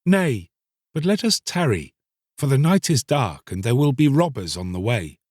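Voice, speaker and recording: A man's voice: medium pitch, sing-song, moderate pace, a little mellow, no echo, studio quality. A man voicing a sly, soothing soul that tempts and urges in archaic storybook speech, drawing out its words coaxingly. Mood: urging, sly. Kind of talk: dramatised storybook reading.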